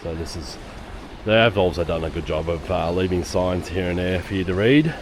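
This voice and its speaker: A man with a hoarse voice from a lingering cough, giving chatty walking-tour commentary on busy city streets. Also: low voice, normal speed. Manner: chatty, rambling, with fillers. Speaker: travel vlogger. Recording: outdoor street noise. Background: city street noise and traffic.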